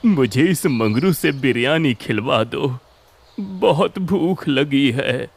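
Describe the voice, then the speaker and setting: A man's voice: mid-range voice, expressive, slow pace, creaky, slight background noise. An actor voicing a hungry, humble, pleading old man in an audio story.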